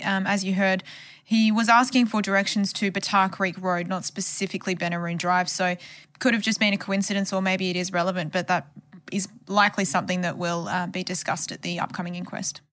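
A woman's voice: mid-range voice, steady tone, brisk, fairly bright tone. A woman journalist giving a conversational podcast account of a case. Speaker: journalist who covered the case.

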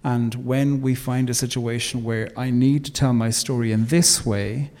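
An Irish man speaking as an interview guest, measured and thoughtful, with emphatic turns. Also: medium pitch, fairly even intonation, darkish tone, long reverb tail. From Ireland. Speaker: guest, theologian and poet. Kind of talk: reflective interview conversation.

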